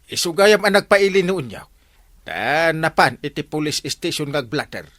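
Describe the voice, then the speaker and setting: A man's voice: on the high side, normal speed, no echo. An actor with an Ilocano accent playing an exasperated, sputtering male village captain in a comedy radio drama. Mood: firm, admonishing. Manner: animated, sputtering, repeats exclamations. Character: exasperated village captain scolding his wayward men.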